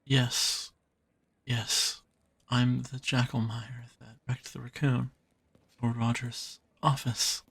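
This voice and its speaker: A man voicing a shy, bumbling male jacklomire creature with vampire traits, who speaks in a halting, hesitant way. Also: medium pitch, little pitch movement, gravelly, a bit bright, breathy edge, no echo, heavily acted. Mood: nervous, hesitant. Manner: halting, hesitant, many fillers. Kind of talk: audio roleplay drama.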